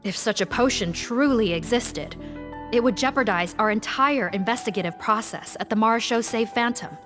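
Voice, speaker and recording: An actress playing a polite, skeptical investigator in a video game, formal and courteous. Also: female voice, a little high, moderate pace, close to an everyday voice. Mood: politely doubtful. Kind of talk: video game character acting. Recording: music clearly behind the voice.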